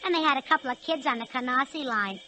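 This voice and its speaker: High, bright, slangy girlfriend locomotive. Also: quick pace, a little hiss or hum. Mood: wistful, slangy. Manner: slangy, plaintive. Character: sweet, complaining young female locomotive, working-class girlfriend. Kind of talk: 1940s radio acting.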